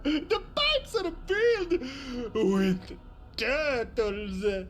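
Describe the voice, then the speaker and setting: A woman's voice: high-pitched, expressive, extremely slow, faint music behind, exaggerated voice. A woman playing a hysterical, whiny official in a video game, with melodramatic delivery. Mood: wailing despair. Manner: wailing, melodramatic. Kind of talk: scripted video game comic acting.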